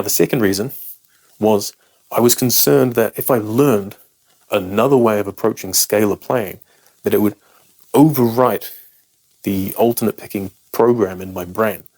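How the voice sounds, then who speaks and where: A man's voice: lowish voice, moderate pace, a little mellow. A man with a British accent, a native English speaker, talks to camera as a guitar teacher, explaining picking technique in a frank, self-deprecating and emphatic way.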